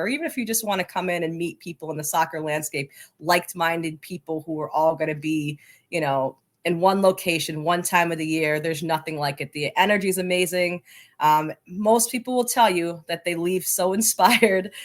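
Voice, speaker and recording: An enthusiastic, talkative woman speaking emphatically as a guest in a conversational interview. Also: lowish voice. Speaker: podcast guest, soccer coaching advocate.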